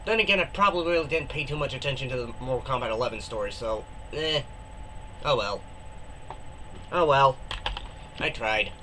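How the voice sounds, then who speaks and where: A man's voice: mid-range voice, normal speed, pauses often, slight background noise. A man with a head cold giving casual reaction commentary, exasperated, swearing and groaning. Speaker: reaction video host. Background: loud music.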